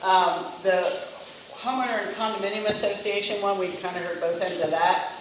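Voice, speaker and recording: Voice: mid-range voice, fairly even intonation, moderate pace. A county staff facilitator summarizing public feedback at a community meeting, talking loosely with many ums and restarts. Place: large indoor meeting room. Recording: echoey hall, distant mic, faint noise.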